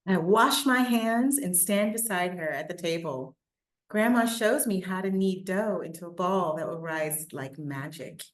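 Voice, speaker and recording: A woman with a gentle, measured manner, talking informally and reading a children's story aloud. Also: on the low side. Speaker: children's book illustrator.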